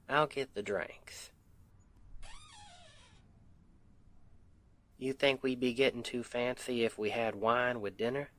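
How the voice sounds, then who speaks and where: A man's voice: medium pitch, lightly acted. A man playing a doting country husband with a Southern accent in an intimate ASMR roleplay, folksy and affectionate. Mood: tender, appreciative. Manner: affectionate, unhurried, folksy, gently teasing.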